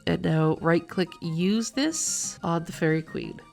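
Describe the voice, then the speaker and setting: Woman with a low, creaky voice. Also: slow pace, a little mellow, faint music behind. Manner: colloquial, playful. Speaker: game guide narrator. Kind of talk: casual video game walkthrough commentary.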